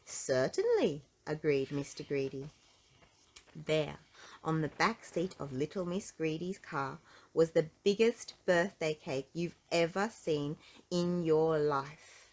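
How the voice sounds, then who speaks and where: A woman reading a children's storybook in an animated, playful way, drawing out key words for emphasis. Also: deep voice, slow pace. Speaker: storybook reader.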